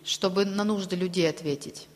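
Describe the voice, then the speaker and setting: A woman interpreting a sermon aloud, delivering it phrase by phrase in a halting way. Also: on the low side, steady tone, darkish tone. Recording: long reverberant hall sound.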